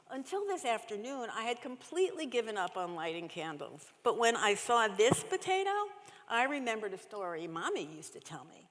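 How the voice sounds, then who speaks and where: A woman voicing an earnest, excitable young girl from a storybook, recorded in a reverberant church hall. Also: mid-range voice.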